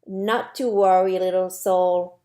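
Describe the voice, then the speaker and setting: Lady doing a friendly spirit from a storybook, soft mellow voice, slow, loving and reassuring. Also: lowish voice, fairly even intonation, pitched below the performer's own voice. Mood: cheerful, helpful. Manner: reassuring, tender. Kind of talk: storybook character reading.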